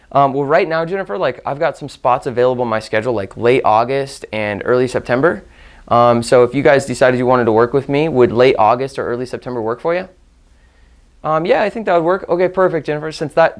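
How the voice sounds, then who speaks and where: A man giving instructional talk as a sales trainer, repeating and rephrasing points for emphasis and demonstrating phone-call lines. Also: medium pitch.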